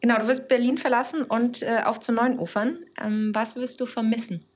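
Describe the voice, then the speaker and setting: A woman's voice: on the high side, flat delivery, fairly quick. A woman hosting a podcast, asking questions in an informal, conversational way. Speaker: podcast host interviewing a guest. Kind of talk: casual interview chat.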